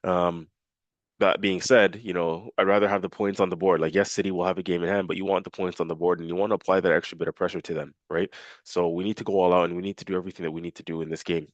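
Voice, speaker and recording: A man's voice: sits low, flat delivery, fast, no echo, dull audio. A man with a nasal, congested voice from a cold, casually previewing a football match for his fan channel with lots of fillers. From Canada. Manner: casual, filler-heavy, repeats himself.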